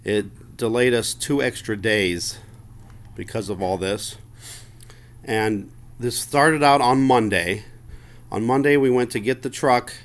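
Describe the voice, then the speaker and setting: A man with a medium-pitched voice lays out a customer complaint in plain, informal words. He speaks haltingly, often doubling back and repeating himself. He comes across as aggrieved. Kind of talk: informal spoken account of a grievance.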